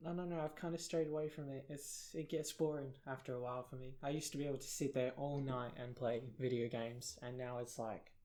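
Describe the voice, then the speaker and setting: A man's voice: medium pitch, steady tone, fairly quick, hardly any pauses, mellow. Young man with a British accent. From South Africa. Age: young adult. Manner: rambling, self-deprecating, many fillers. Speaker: podcast co-host. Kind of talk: casual chat.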